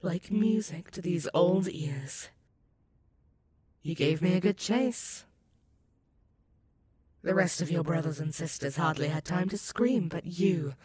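A woman's voice: on the low side, noisy background. A woman playing an ancient, sadistic and possessive female werewolf demon in a roleplay audio, purring menace and mocking pet names at the listener. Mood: taunting, amused. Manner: slow, teasing, purring menace with mocking endearments.

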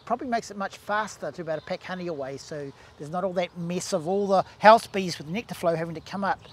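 A man's voice: high voice, fast, slight background noise. A man in his sixties with a New Zealand accent, a bee scientist explaining hive equipment outdoors in a garden, talking with restarts and gestures. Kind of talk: outdoor demonstration talk. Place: garden outdoors beside beehives.

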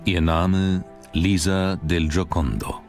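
A man narrating a scripted documentary in a measured, dramatic storytelling manner. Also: low-pitched, steady tone, a little mellow, faint music behind.

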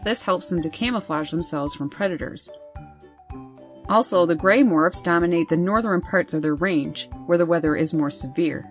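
Woman, nature documentary voice-over, lowish pitch, measured reading, faint background music. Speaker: birding channel host. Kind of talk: scripted nature documentary narration. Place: voice-over over wildlife footage.

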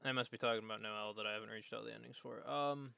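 Bright, clear-voiced male game streamer. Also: fairly low pitch, steady tone, very fast, no echo. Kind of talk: casual livestream commentary while playing a video game.

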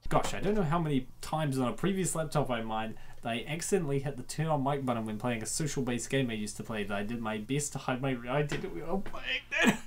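A host reacting to and reading a comic aloud in an excitable, dramatic way, often repeating phrases. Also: medium pitch, fast talker, hardly any pauses, slight background noise. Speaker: host of comic dub show.

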